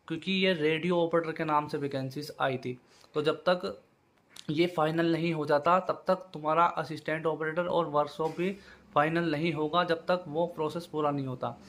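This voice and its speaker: A man giving an informal explainer to viewers as a channel host, repeating his points with familiar check-in tags. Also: a little high. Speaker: YouTube host giving job exam updates.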